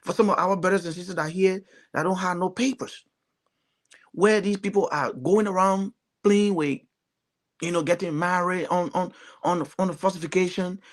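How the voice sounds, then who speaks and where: A man's voice: high-pitched, steady tone, normal speed. A man from Liberia with a Liberian accent, giving earnest, emphatic political commentary as a talk show panelist, often repeating phrases.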